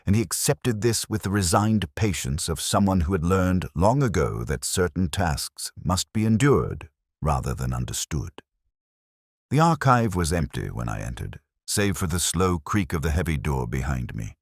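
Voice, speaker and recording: Male narrator, rock-bottom register, for a brooding detective tale: solemn, literary, world-weary, with lively intonation. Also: extremely low pitch, slightly rough, no room sound, clean studio sound. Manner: measured, literary, solemn storytelling. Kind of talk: scripted first-person detective story narration.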